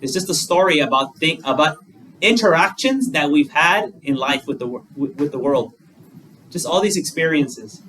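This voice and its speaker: A man's voice: on the high side, expressive, moderate pace, a bit bright. A male Buddhist monk giving a dharma talk, conversational, using plain examples and rhetorical questions. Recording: distant mic with faint room noise.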